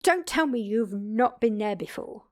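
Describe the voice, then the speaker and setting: A woman's voice: on the high side, animated, unhurried, a little mellow. A woman playing a blunt, wry immortal vampire mentor in a one-sided audio drama, conversational and teasing in her delivery. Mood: curious, brisk.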